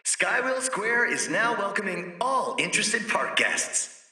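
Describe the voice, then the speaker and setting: Piercingly bright, showy park announcer. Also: male voice, high voice, very animated, unhurried, roomy sound, far from the mic, exaggerated voice. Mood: showy, welcoming. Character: showy, welcoming male amusement park announcer.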